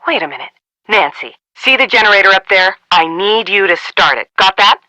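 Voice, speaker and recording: An actress playing a stressed, bossy film crew member in a mystery game, curt and impatient. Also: female voice, mid-range voice, lively intonation, normal speed, sharp tone, dry recording, rough recording. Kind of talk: scripted game dialogue.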